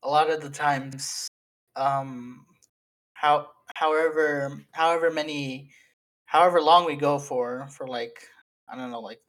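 A man's voice: on the high side, steady tone, unhurried, a bit bright, rough recording. A young man co-hosting a casual podcast with friends, rambling through long sentences full of fillers and laughing as he talks. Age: young adult. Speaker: podcast co-host and editor. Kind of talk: casual chat among friends.

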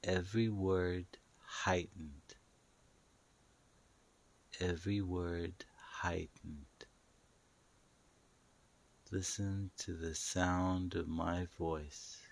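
A man's voice: sits low, steady tone, very slow, gravelly, long pauses. A man narrating a guided meditation in a soothing, instructive, hypnotic manner, repeating short phrases.

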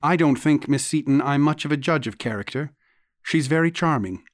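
A man's voice: on the high side, normal speed, no echo, clean studio sound, close to an everyday voice. A man voicing a stiff, rather pompous and awkward young man in an audiobook. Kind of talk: audiobook character reading.

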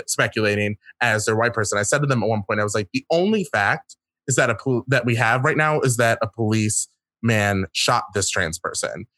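A man speaking as a guest in a casual discussion, earnest and reflective, often pausing to rephrase. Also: medium pitch, pushed and bright, no echo.

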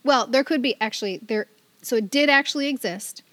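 A woman's voice: a little high, brisk, a bit bright, dry recording. A middle-aged woman co-hosting a podcast in casual chat, playful and self-deprecating. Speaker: podcast co-host.

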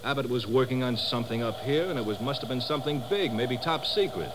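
A male actor plays a folksy, suspicious pilot in a vintage radio adventure. He is emphatic, with a lively mid-range voice. Clear noise from the old recording surrounds him. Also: mid-range voice, quick pace, close to an everyday voice. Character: blunt, suspicious American bush pilot, quick with theories. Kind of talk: 1950s radio drama acting. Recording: noisy 1950s radio drama recording.

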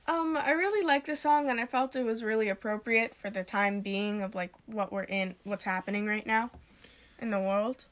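A woman's voice: medium pitch, normal speed. A twelve-year-old girl co-hosting a casual home podcast, shy and giggly as she answers. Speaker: podcast co-host.

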